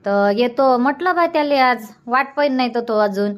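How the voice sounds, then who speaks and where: A woman's voice: a little high, lively intonation, normal speed, natural voice. A woman voicing a mild, dutiful older village mother in a cartoon comedy, with a Varhadi Marathi accent and a plaintive, explaining manner. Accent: Varhadi Marathi from Vidarbha.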